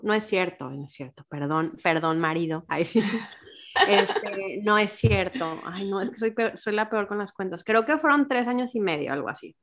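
A woman from Guadalajara speaks. She chats casually and tells her stories with lively quoted bits of talk. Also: mid-range voice. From Guadalajara, Mexico. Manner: animated storyteller, acts out quotes. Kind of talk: casual chat.

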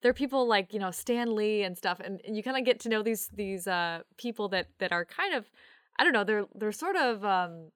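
A woman's voice: medium pitch, animated, normal speed, a bit bright, no echo, studio quality. A woman chatting casually and enthusiastically as an interview guest. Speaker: interview guest, audio drama creator.

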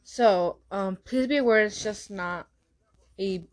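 Fast-talking young woman who stutters. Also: medium pitch. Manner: stutters and restarts a lot, talks fast. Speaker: podcast host. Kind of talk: casual solo podcast chat.

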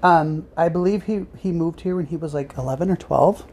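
A woman's voice: lowish voice, brisk, dark tone, highs cut off, slight background noise. A woman co-hosting a podcast in a casual, chatty style. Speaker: podcast co-host. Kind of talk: casual chat about superhero movies.

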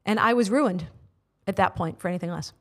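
A woman's voice: mid-range voice, fairly quick. An American woman in her forties, a guest on a podcast, telling her faith story earnestly with many filler words. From United States. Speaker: guest, prayer ministry leader. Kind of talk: personal testimony in interview. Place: studio.

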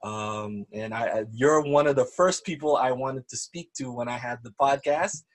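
A man's voice: mid-range voice, moderate pace. A man hosting a ministry podcast, welcoming his guest in an upbeat, enthusiastic way with churchy phrasing. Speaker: podcast host. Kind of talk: podcast intro and interview.

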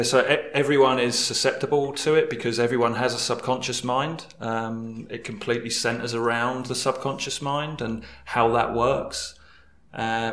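A man's voice: mid-range voice, fairly bright tone, roomy sound. A man from England with an English accent, a guest coach chatting hesitantly on a podcast with many fillers and restarts. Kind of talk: casual podcast chat.

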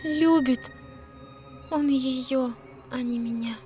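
An actress playing a heartbroken, tender young mermaid princess in a verse fairy-tale audio drama, speaking with sorrowful resignation. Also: female voice, high-pitched, slow pace, over music.

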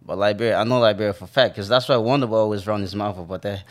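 A man from Madagascar co-hosting a casual podcast chat, laid-back and quick to laugh. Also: fairly low pitch. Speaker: podcast co-host.

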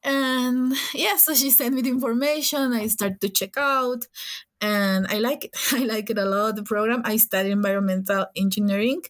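A woman's voice: a little high, steady tone, normal speed. A young woman from Ecuador with an Ecuadorian Spanish accent, a native Spanish speaker, chatting casually and gigglily as a podcast guest. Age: young adult. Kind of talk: casual chat.

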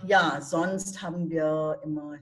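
An older woman from India with an Indian accent, a native Bengali speaker, answering questions as a podcast guest in German with a warm, hesitant manner and many fillers. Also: deep voice, fairly even intonation, slow pace. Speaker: guest, teacher. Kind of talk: podcast interview.

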